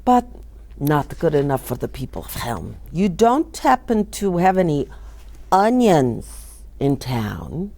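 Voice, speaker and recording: A woman voicing a humble, sly male wanderer in a storybook, coaxing and hushed with feigned innocence. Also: low-pitched, sing-song, slow, vocal fry, full character voice.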